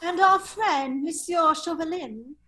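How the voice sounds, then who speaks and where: A woman playing a witty, foppish English gentleman with a secret life, in an affected drawling manner in an amateur drama reading. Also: extremely high pitch, slow, heavily acted. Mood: weary, guarded. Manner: affected, languid, drawling, exclaiming.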